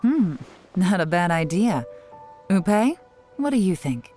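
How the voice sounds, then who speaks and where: A cool, secretive spy who speaks with calm, quiet confidence in a game. Her voice sits low for a woman, with music clearly present behind it. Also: unhurried. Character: cool, secretive female intelligence agent, composed and sly. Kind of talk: video game voice acting.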